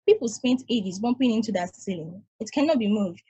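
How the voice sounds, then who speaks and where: A woman voicing an ancient, weary space probe, reading calmly and explaining at length in a table read over a video call. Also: mid-range voice, brisk, husky, dry recording. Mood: lecturing. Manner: calm, reasoning, explaining at length.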